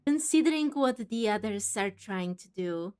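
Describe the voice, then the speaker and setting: A woman's voice: mid-range voice, lively intonation, moderate pace, a little mellow, clear, resonant tone. A woman hosting a casual game playthrough, chatting and reacting expressively as she goes. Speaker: gaming video host.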